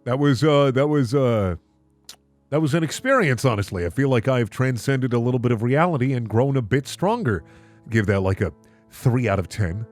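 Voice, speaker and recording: A man's voice: medium pitch, normal speed, darkish tone, faint music behind. A man hosting a comedic reading, switching between mock-dramatic narration and casual, sarcastic commentary. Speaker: host reading and reacting to fan fiction.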